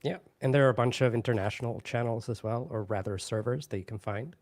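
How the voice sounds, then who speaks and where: A man's voice: mid-range voice, fast talker. A man hosting a live stream, chatting casually and loosely with fillers and restarts. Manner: relaxed, full of fillers and restarts. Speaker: event host.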